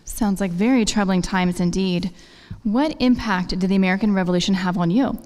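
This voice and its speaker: A woman's voice: mid-range voice, brisk, darkish tone. Polite woman hosting a scripted interview. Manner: polite, formal questioning. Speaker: show host. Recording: echoey hall reverb.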